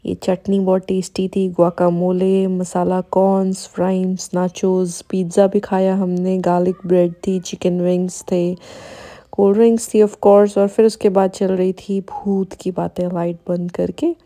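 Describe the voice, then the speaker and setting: A woman's voice: fairly low pitch, flat delivery, unhurried, dark tone. A woman, narrating a family vlog in a casual, chatty style. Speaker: family vlogger. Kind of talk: casual vlog narration mixing Hindi and English.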